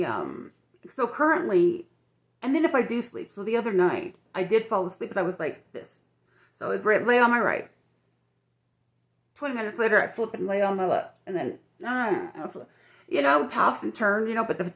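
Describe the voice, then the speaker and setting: An older woman with a croaky, dry-mouthed voice, chatting frankly and rambling with many restarts in a personal vlog filmed on her phone at home. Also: mid-range voice. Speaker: vlogger.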